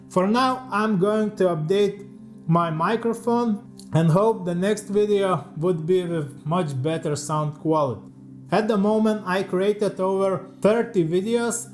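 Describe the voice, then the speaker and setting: A man with a Lithuanian accent reading a scripted voice-over from a teleprompter, in careful, slightly halting English as a second language. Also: sits high. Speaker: leathercraft YouTuber.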